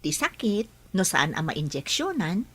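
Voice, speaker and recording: A woman's voice: lowish voice, sing-song, a little slow, fairly bright tone, breathy edge, exaggerated voice. A woman acting a patient, caring young health worker in an Ilocano radio drama, with an Ilocano Filipino accent. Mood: patient, instructive.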